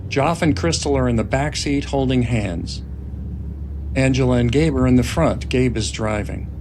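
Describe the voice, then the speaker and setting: A man's voice: medium pitch, moderate pace, noisy background. A man narrating screenplay scene directions as scripted narration. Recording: faint music and birdsong effects behind.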